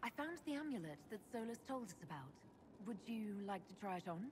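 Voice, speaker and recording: A woman plays a level-headed female leader in a fantasy game. Her voice sits at a medium pitch, composed and measured, with direct phrasing over faint noise and ambience. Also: lively intonation, natural voice. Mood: curious. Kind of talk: dramatic video game voice acting.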